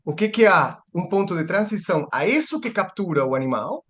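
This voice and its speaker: A man's voice: high-pitched, sing-song, a little fast, fairly bright tone. A man giving a seminar lecture, thinking aloud with restarts and rhetorical questions.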